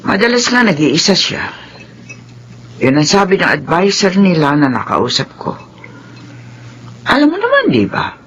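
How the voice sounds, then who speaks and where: A man's voice: high-pitched, huge pitch swings, normal speed, pauses often, fairly bright tone, husky, dull audio, exaggerated voice. A Filipino man playing a concerned, observant grandfather in a radio drama.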